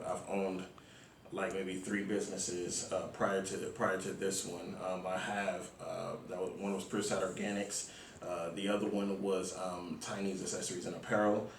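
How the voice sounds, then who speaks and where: Low-voiced man from Miami, echoey room. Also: level tone. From Miami, Florida, United States. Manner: informal slangy, halting with many ums. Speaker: interview guest, music platform founder. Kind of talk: casual interview talk. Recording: echoey room, distant mic, faint noise.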